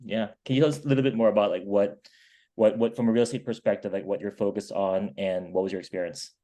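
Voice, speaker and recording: Friendly male interview host, lowish mellow voice, casual filler-heavy delivery. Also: fairly even intonation, fast. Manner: friendly, upbeat, lots of "like" and backchannels.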